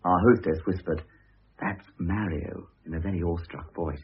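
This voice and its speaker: A man's voice: deep voice, normal speed, creaky, husky, exaggerated voice. A man playing an opinionated, priggish adult son in a vintage British radio drama, speaking emphatically and insistently. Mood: earnest, insistent. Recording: muffled, tinny old radio recording.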